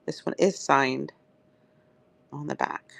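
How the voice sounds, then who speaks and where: Live-sale host, woman, dry crackly throat, chatty and upbeat, lowish voice. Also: fairly even intonation, slow pace, vocal fry. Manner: chatty, upbeat, addresses viewers by name. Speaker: live-stream seller. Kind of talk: live auction selling chat.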